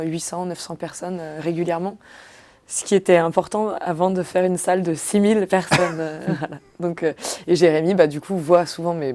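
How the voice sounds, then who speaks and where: A woman's voice: lowish voice, mellow. A young woman comedian chatting casually in an interview, hesitant with many fillers and restarts.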